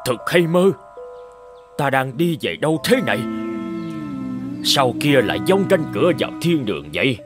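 A man as a pious old hermit, animated pitch. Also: normal speed, pauses often, loud music behind the voice, natural voice. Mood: bewildered. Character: pious old male hermit, bewildered and self-righteous in afterlife. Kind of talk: cartoon fairy-tale dubbing.

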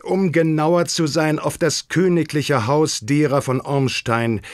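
A man's voice: fairly high pitch, fairly even intonation, unhurried, no room sound, clean studio sound, lightly acted. An actor playing a proud, formal and secretive king with stately diction in a German radio play. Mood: grave, secretive.

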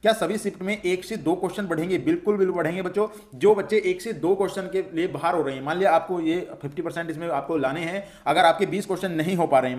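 A man's voice: on the high side, darkish tone. A man coaching students in an informal explainer, repeating himself and answering his own questions reassuringly. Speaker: exam-update coach and channel host. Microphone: voice-over for a screen recording.

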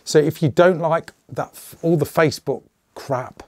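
A middle-aged man with a slightly hoarse voice, hosting a casual channel update and rambling with jokey asides. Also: fairly high pitch, moderate pace, darkish tone, no echo, clean studio sound. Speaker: channel host. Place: small indoor gun room.

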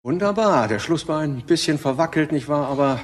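An actor playing a kindly, encouraging dance group leader in a radio crime drama. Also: male voice, a little high, lively intonation, a little fast, close to an everyday voice.

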